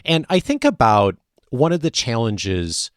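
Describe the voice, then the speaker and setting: A man's voice: medium pitch, wildly swooping pitch, a little slow, no room sound, clean studio sound. A man hosting a podcast interview, thoughtfully reflecting back on what his guest has said. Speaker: podcast host. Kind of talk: interview conversation.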